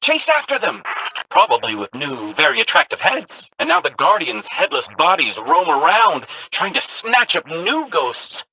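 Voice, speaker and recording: A chirpy curious little ghost robot. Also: male voice, high-pitched, wildly swooping pitch, normal speed, gravelly, sharp tone, breathy edge, dry recording, heavily acted, poor audio. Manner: curious, chirpy storyteller of spooky legends. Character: eager chatty ghost companion robot, young male. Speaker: game companion voice. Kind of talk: game voice lines.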